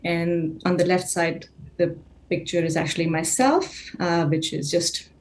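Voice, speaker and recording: A woman with a lowish voice whose first language is Urdu talks through her own artwork. She speaks thoughtfully and haltingly, restarting her sentences often. The sound comes through a video call. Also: flat delivery. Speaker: exhibiting artist. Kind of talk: explaining her own artwork in a casual talk.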